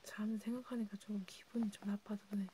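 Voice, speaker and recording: Whispering woman in noisy ASMR role play. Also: mid-range voice. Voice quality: breathy unvoiced whisper. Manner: intimate, halting, informal one-sided conversation. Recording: steady background noise with clicks.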